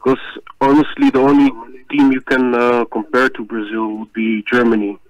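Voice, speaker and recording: A man from the Netherlands giving his sports opinion as a caller to a live show, in measured, halting phrases. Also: medium pitch, little pitch movement, lo-fi sound. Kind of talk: phone-in opinion.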